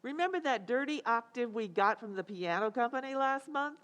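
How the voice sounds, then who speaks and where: A long-suffering wife, acted by a woman in an old-time radio comedy. She chides and teases wryly in a fairly high voice with very animated intonation. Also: brisk. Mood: wry, teasing. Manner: dry, chiding, wry.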